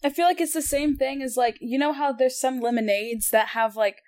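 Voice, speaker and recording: A woman's voice: high voice, fairly even intonation, fairly quick, a little mellow. A young woman co-hosting a podcast in casual chat, teasing and blunt. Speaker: podcast co-host. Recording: poor audio quality.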